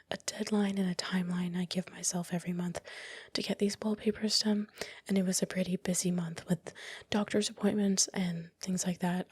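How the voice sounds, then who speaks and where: A woman whispering softly and breathily close to the microphone, narrating casually and unhurriedly in an ASMR style with some hesitant phrasing. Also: on the low side, husky, no room sound. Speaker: ASMR creator narrating her time-lapse. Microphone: close microphone, dry voice-over.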